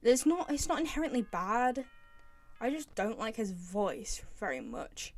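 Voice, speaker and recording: A woman's voice: fairly high pitch, sing-song, measured pace, a little mellow. A young woman giving casual, enthusiastic, informal commentary as the host of a video game fan video.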